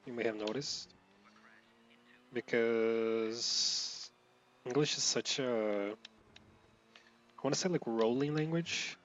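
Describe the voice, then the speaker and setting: A man's voice: medium pitch, slow, pauses often, slight background noise. Brazilian guy rambling over a racing game, mumbles his words, curses a lot, dull lo-fi mic. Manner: loud outbursts at driving mistakes. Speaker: gameplay streamer. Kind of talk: casual gameplay commentary.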